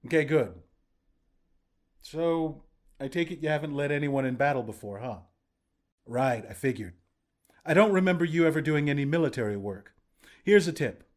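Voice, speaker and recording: A man's voice: fairly high pitch, lively intonation, moderate pace, long pauses, close to an everyday voice. A man playing a battle-hardened resistance commander in a video game, plain-spoken, protective and reassuring. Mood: gentle, consoling, then friendly, advising. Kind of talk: video game character voice lines.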